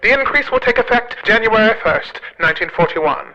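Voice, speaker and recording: A formal 1940s news announcer in an audio drama. His voice is very high and forceful, coming through dull, radio-like sound. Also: lively intonation, fairly quick. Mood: declamatory.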